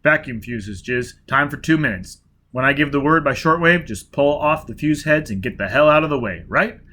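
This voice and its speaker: A man voicing a driven, rough male spaceman who talks bluntly and shouts orders. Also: a little high, lively intonation, normal speed, slight background noise.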